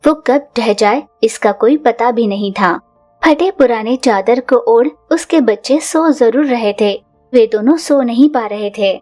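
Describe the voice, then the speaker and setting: A woman narrating a cartoon story in a steady, scripted way. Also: fairly high pitch, measured pace, soft background music.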